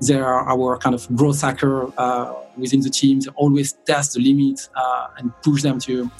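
A man's voice: mid-range voice, little pitch movement, moderate pace, soft background music. A middle-aged man with a French accent, speaking conversationally as an interview guest. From south of France. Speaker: sales director, podcast guest.

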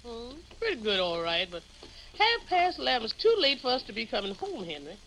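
A woman's voice: a little high, wildly swooping pitch, a little fast, pushed and bright, full character voice. A woman playing a plainspoken, working-class housekeeper in a 1950 radio drama, with folksy ungrammatical speech. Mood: tired, grumbling. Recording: noisy vintage radio recording.

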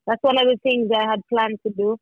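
A woman's voice: on the high side, steady tone, fairly quick, no room sound, narrow, tinny audio. A young woman musician talking casually as a podcast guest, full of fillers and restarts. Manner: rambling, filler-heavy, many restarts.

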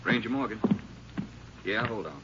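An actor playing a practical Texas Ranger partner in a 1950s radio drama, American, clipped and businesslike. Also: male voice, on the low side, moderate pace, natural voice. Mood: brisk. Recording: muffled, noisy old radio recording.

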